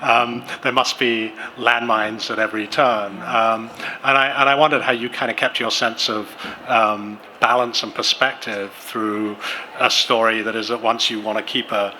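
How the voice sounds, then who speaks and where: A man moderating as an editor at a conference panel, asking long, halting questions full of fillers. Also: fairly low pitch, level tone, bright, forceful tone, slight background noise, poor audio. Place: conference venue.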